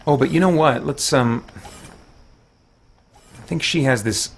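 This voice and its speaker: A man's voice: medium pitch, a little slow, creaky. A man in his thirties or forties doing casual, rambling game commentary, thinking aloud and correcting himself as he goes. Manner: rambling, self-correcting, chatty. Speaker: live-stream gaming host. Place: small home room, indoors. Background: game music.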